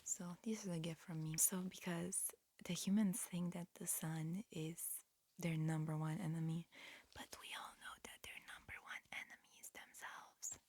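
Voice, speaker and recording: A woman performing a whispered ASMR roleplay, mixing breathy whispers with soft speech in a halting, matter-of-fact, instructional manner. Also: fairly low pitch, flat delivery. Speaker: ASMR performer playing an alien examiner.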